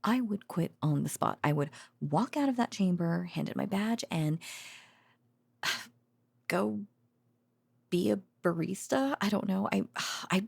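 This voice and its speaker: Female VA as weary, affectionate scientist girlfriend for intimate audio roleplay. Gravelly fry, dry close sound, hesitant rambling, wry teasing. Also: medium pitch, animated, unhurried, long pauses, a little mellow, dry recording, exaggerated voice. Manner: hesitant, rambling, sighing, wryly sarcastic. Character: tired, teasing affectionate girlfriend, a researcher at a secret containment foundation. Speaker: voice actor.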